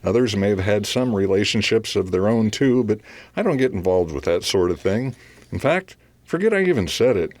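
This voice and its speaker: A guarded radio station manager. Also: male voice, fairly low pitch, expressive, moderate pace, a little mellow, no room sound. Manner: evasive, reserved. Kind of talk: audio theater acting.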